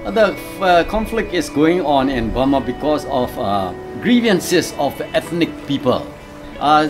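A man, explaining things in a documentary interview. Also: mid-range voice. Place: outdoors, rooftop terrace. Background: guitar music.

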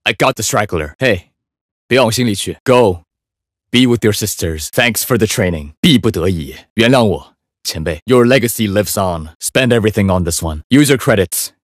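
Game role: young Chinese hitman, lowish register, cool, focused, understated; dry studio sound. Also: lively intonation, normal speed, no room sound. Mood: cool, composed. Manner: cool, understated, clipped delivery. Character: calm young Chinese male assassin, focused and politely deadly. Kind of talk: video game character voice lines.

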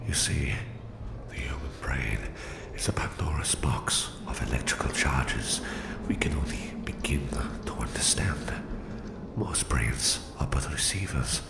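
A man playing a menacing, domineering Soviet psychic supervillain with a Russian accent in a slow, condescending ASMR roleplay, his voice whispery and gravelly. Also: extremely low pitch, expressive, roomy sound, full character voice. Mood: smug, persuasive.

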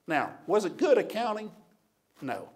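A man's voice: high voice, big pitch swings, measured pace. A man, telling a story plainly and confessionally as a keynote speaker at a lectern. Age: 60s or older. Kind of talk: storytelling public lecture. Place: auditorium stage at a lectern. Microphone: podium gooseneck microphone.

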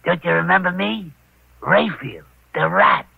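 A gravelly slow-talking crook, tinny recording. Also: male voice, high-pitched, no room sound, heavily acted. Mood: familiar, rough. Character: ex-convict head waiter, slow small-time crook. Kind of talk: 1940s radio gangster acting. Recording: tinny old radio recording, faint music.

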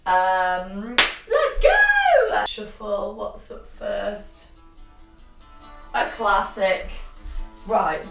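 A young woman vlogging while she dances, her voice croaky and her talk bubbly and exclamatory, slightly out of breath from moving. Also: medium pitch, big pitch swings, very slow. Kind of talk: chatty vlog while dancing. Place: cold glass conservatory at home. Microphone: far camera. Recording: echoey room from far off, music behind.

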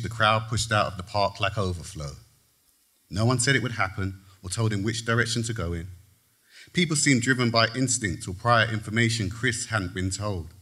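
A man reads his own fiction aloud at a lectern on a theatre stage, his fairly low voice measured and sinking at the close of each phrase. It is a live literary reading before an audience. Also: pushed and bright. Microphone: lectern mic, far camera.

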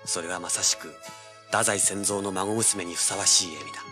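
An actor playing a brash, tough young treasure hunter, rough-spoken and hard-boiled, who also narrates his adventure in an audio drama. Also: sits low, moderate pace, music in the background, lightly acted. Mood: wry, subdued. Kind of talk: 1980s audio drama acting with first-person narration.

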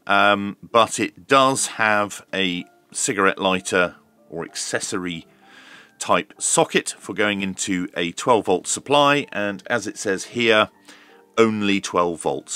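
A man's voice: deep voice, bright, forceful tone. A man casually narrating a hands-on product unboxing and review in an informal, running commentary. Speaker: host of a radio review channel.

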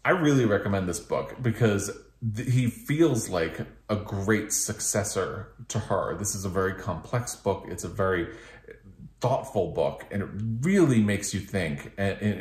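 A man in his thirties speaks in a fairly low voice with noticeable room echo. He hosts a casual book chat from a living room, talking to the camera in a rambling, thoughtful way and often rephrasing as he goes. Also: lively intonation, normal speed. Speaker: book reviewer, video host. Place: small living room with couch and bookshelves, indoors.

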